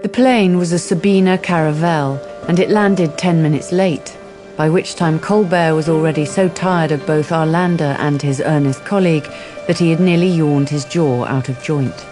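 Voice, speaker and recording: A wry female storyteller voiced by an actress for a radio crime drama. Her voice is low for a woman and her delivery is measured and scripted. Music plays clearly beneath her. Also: lightly acted.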